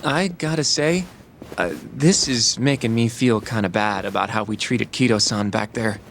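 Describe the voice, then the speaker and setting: A man's voice: lowish voice, normal speed, slight background noise, close to an everyday voice. A man playing a mild, conscientious younger sidekick in a video game, casual and hesitant. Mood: sheepish. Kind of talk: video game drama acting.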